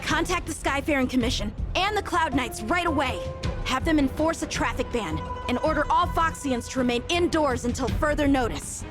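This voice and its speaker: A serious female officer in a video game. Her voice is notably high and brisk, with clear music behind it. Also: expressive. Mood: urgent.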